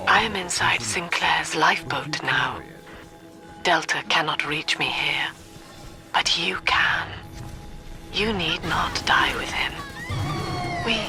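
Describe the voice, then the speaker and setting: An actress playing a cold, zealous middle-aged cult-leader mother in a video game, with a British accent and measured, preachy formal diction. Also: on the low side. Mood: coaxing. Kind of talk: video game villain monologue.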